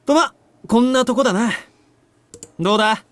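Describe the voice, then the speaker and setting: A male actor voicing a cocky, nonchalant young man in a visual novel, with rough, offhand speech. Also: extremely high pitch, fast talker, fairly bright tone, dry recording. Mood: nonchalant explaining. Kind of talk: Japanese visual novel voice acting.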